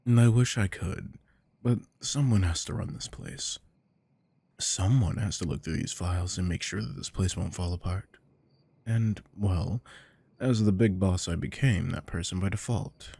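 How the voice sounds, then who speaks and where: A man acting a weary, possessive, domineering office boss with a deep voice, in a scripted ASMR roleplay. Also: measured pace, creaky, dark tone, husky, no echo, heavily acted. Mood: weary, confiding.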